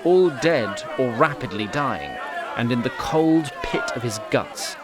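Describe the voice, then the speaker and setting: A man's voice: mid-range voice, expressive, unhurried, music in the background, crowd noise. A man narrating a scripted fantasy audio drama in a literary, wry storytelling manner.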